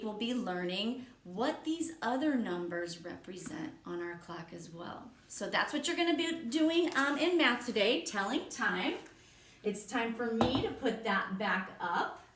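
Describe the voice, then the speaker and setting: A woman with a slightly high voice teaches young children, explaining each step slowly and patiently. Her tone stays encouraging, and the recording carries a roomy echo. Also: a bit bright. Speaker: elementary school teacher. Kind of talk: remote classroom lesson for young children. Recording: echoey room.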